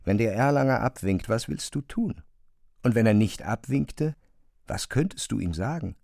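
Gravelly male literary narrator, dry sound. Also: mid-range voice, animated, normal speed, darkish tone, no room sound. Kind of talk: literary narration in a radio drama.

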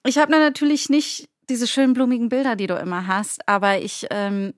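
A woman's voice: a little high, fairly even intonation, a little fast, dry recording, studio quality. A woman co-hosting in casual chat, speaking in an effusive, informal way. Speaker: co-host and scriptwriter.

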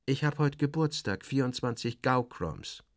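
A man voicing a young female centaur, amused and childlike, who talks in a sleepy, slow-motion drawl. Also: medium pitch, lively intonation, dry recording, studio quality, close to an everyday voice. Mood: childlike, pleased.

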